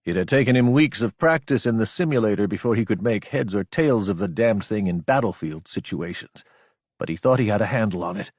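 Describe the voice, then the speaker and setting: Husky male audiobook narrator, close and dry. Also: mid-range voice, dry recording. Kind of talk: scripted sci-fi action narration.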